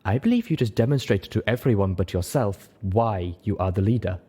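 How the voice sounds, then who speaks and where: A man's voice: fairly low pitch, expressive, brisk, warm tone, slightly rough. A man voicing a reserved zebra mother who speaks formally and steadily, with sorrow held in. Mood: composed.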